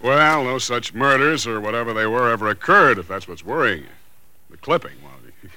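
A man's voice: mid-range voice, animated, fairly quick, pushed and bright, dry recording. An actor playing a breezy, skeptical man with a colloquial, dismissive manner in a 1940s radio drama. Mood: amused skepticism. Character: breezy skeptical male friend named Sam.

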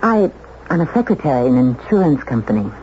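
An actress playing a timid, earnest, polite secretary in a 1970s radio drama. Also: female voice, a bass voice, big pitch swings, fast talker, dark tone. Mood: nervous. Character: timid, proper, lonely long-serving office secretary. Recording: muffled, noisy 1970s radio recording.

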